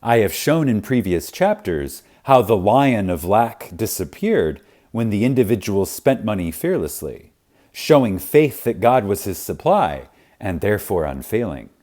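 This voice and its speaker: Deep, slow, mellow man reading reverently. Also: sing-song. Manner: reverent, emphatic, dramatic pauses. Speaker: audiobook narrator. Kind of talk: scripted audiobook reading of spiritual teaching.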